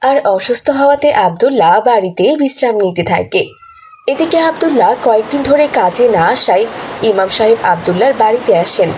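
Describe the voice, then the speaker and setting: A woman's voice: a little high, lively intonation, fairly quick, a little mellow, slight background noise. A woman narrating a scripted moral story as a storyteller.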